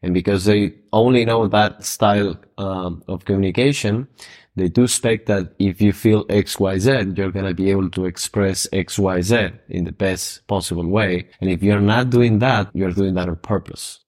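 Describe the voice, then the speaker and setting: A man from Argentina co-hosting a casual podcast, with a very deep voice. He lays out his points in a calm, measured and explanatory way. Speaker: podcast co-host.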